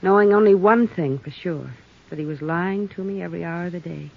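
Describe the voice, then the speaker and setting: An actress playing a weary young widow who speaks quietly and reflectively, in 1950s radio-drama style on an old hissy recording. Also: female voice, on the low side, brisk, vocal fry. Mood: rueful. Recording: dull old radio recording with faint hiss.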